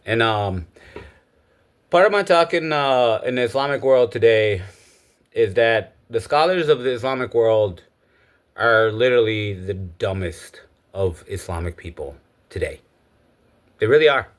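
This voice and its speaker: A man's voice: fairly low pitch, animated, measured pace, long pauses. A man from Egypt giving a casual, opinionated spoken monologue. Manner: opinionated, lecturing. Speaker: speaker giving his views.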